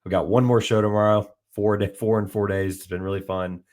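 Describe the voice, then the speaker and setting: Podcast host, man with a very low voice and a touch of rasp, loose rambling chat. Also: little pitch movement, a little fast, darkish tone. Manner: rambling, lots of "like" fillers and restarts. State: slightly raspy from heavy talking. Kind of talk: casual sports podcast chat.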